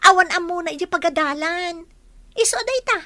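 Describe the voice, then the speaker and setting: A woman's voice: very high voice, expressive, a little fast, bright, forceful tone, heavily acted. A woman acting a jealous, spiteful, boastful young village woman in an Ilocano radio comedy drama, with an Ilocano accent and a catty, dramatic delivery. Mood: bitter, jealous.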